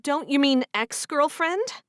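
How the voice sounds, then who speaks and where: A woman's voice: expressive, takes it slowly, fairly bright tone, no room sound, clean studio sound, full character voice. A woman playing a bold, defensive young woman. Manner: pleading, high and emphatic.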